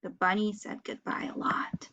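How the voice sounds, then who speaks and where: A woman reading a picture book aloud for young children, with simple, deliberate phrasing and character voices. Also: sharp tone, whispered, dull audio. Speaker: children's storyteller, educator.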